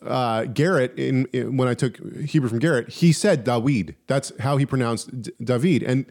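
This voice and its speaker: A man's voice: mid-range voice, normal speed, a little mellow. A man hosting a podcast in a casual, chatty way, reading out listener questions and jumping into the talk. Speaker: podcast host. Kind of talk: casual chat.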